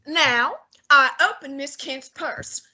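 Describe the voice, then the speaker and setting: A woman playing a portly, imperious male detective genius in a student radio drama, measured and condescending. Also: high voice, sharp tone, full character voice. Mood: commanding. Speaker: student actor.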